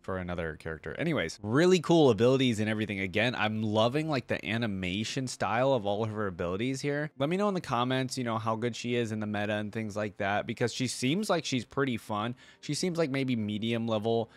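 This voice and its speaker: A man's voice: fairly low pitch, animated, fast, hardly any pauses, dry recording. A man hosting a reaction video with casual, laid-back commentary, thinking aloud appreciatively as he goes.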